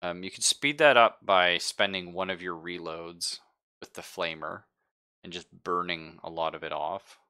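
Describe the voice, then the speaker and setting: Bright-voiced, deep man running a game. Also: smooth. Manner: conversational, halting, thinking aloud. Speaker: game master. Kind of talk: narrating a live tabletop roleplaying game. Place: live-streamed game session.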